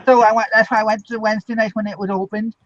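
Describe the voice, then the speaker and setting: A man hosting a casual live stream over a video call, talking in a quick, rambling way. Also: high-pitched, level tone, a bit bright. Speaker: show host. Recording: dull dry lo-fi audio.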